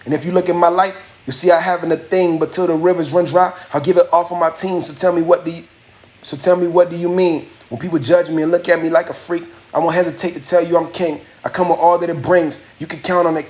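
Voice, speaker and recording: Young male streamer, easygoing and slangy, slightly high voice, chatting with his audience. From Haitian family. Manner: relaxed, slangy, reads out viewer comments and answers them; raps his verse with a raised, rhythmic delivery. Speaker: livestream host and rapper. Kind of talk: casual live stream chat with viewers.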